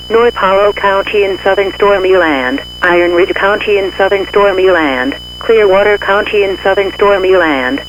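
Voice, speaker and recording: Muffled high-pitched male emergency alert announcer. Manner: formal, measured official reading. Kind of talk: scripted emergency weather bulletin. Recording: muffled radio-style audio with hum.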